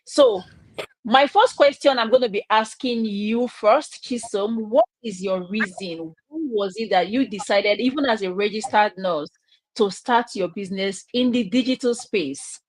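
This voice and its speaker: A woman's voice: medium pitch, a little slow, no echo, rough recording. A woman from Nigeria hosting a live online chat, upbeat and inviting.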